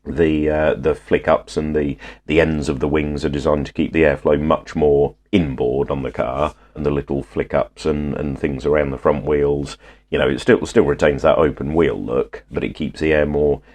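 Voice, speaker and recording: A man, stuffy with a cold, chats on a video call podcast. His voice is extremely deep and low. He explains things expansively and with real enthusiasm. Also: steady tone, normal speed, a little mellow. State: has a cold, congested. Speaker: podcast co-host. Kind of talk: casual motorsport chat.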